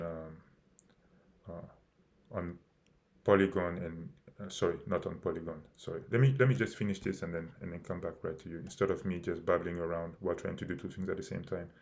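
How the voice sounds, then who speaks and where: Low gravelly man on a live stream. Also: fairly even intonation. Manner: halting, many fillers and restarts. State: losing his voice. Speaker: crypto project host.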